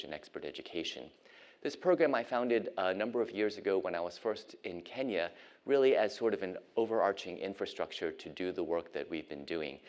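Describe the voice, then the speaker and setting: A middle-aged American man from Ohio giving an academic lecture as a physician and professor, measured and pausing with frequent ums and uhs. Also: low voice. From United States (Ohio).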